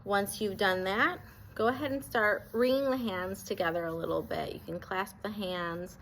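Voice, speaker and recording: A woman's voice: mid-range voice, fairly even intonation, moderate pace, rough recording. Woman guiding meditation, some background noise. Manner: gentle, reassuring, permissive instruction. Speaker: guided meditation instructor. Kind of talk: guided grounding body scan meditation.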